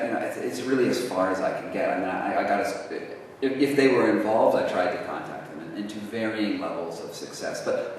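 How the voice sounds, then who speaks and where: A man answering audience questions at a university talk, casual and halting, with many restarts. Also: on the low side. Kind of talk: lecture Q&A. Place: university lecture hall. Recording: echoey hall from far off, faint noise.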